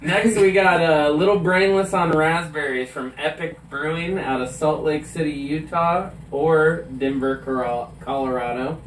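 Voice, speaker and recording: A man's voice: a little high, steady tone, a little slow, few pauses, slight background noise. A man co-hosting a podcast in casual chat, reading out beer labels and laughing easily at crude jokes. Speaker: podcast co-host. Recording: echoey room, mic at a distance.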